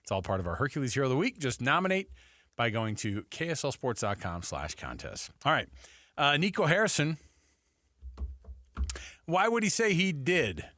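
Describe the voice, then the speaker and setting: Animated American sports radio guy. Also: mid-range voice, moderate pace, long pauses, dry recording. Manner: animated, emphatic, conversational. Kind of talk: sports talk radio banter.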